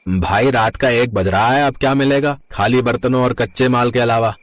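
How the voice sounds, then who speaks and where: A man's voice: mid-range voice, fairly even intonation, normal speed, dry recording, natural voice. A man voicing a greedy, bossy roadside eatery owner with a blunt manner in a moral story cartoon. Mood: dismissive. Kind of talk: animated moral story dialogue.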